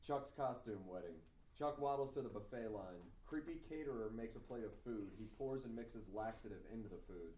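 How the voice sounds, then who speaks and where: A man's voice: on the low side, expressive, a little fast, a little mellow. A man narrating scene directions at a screenplay table read. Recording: echoey room, distant, faint noise.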